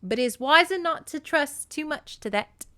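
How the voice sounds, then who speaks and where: A woman's voice: high-pitched, expressive, normal speed, pushed and bright, no echo, clean studio sound, voice pushed up. A woman voicing a proud, scornful queen goddess in a storybook with a put-on Australian accent, haughty and pointed.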